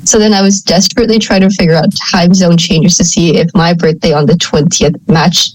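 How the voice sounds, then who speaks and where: A woman from Thailand co-hosting a casual podcast over a remote call, chatty and playful, prone to quick side tangents. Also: fairly low pitch, fairly even intonation, a little hiss or hum, rough recording. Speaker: podcast co-host. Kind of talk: casual chat.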